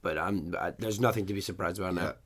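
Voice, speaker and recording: A man co-hosting a sports podcast with a hoarse voice, rambling and joking, often trailing off into a quiet mutter. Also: low-pitched, fast talker, slight background noise. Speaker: podcast co-host. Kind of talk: casual sports chat.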